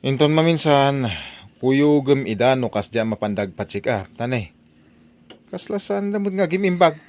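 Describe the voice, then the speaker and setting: A man's voice: mid-range voice, expressive, normal speed, a little mellow, natural voice. A man playing a concerned, practical companion to a young woman in an Ilocano comedy audio drama. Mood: inquiring, concerned.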